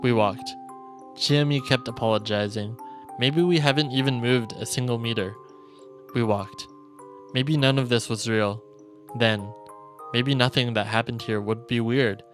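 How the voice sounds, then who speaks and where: A male streamer reading visual novel narration aloud in a solemn, deliberate storytelling manner. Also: mid-range voice, fairly even intonation, fairly bright tone. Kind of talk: dramatic reading of game narration. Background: game music.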